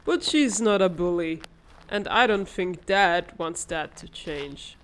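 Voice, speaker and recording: A woman voicing a wry, level-headed older sister in a comic dub, calmly teasing and gently scolding. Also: fairly low pitch, takes it slowly, poor audio. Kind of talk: animated comic-dub voice acting.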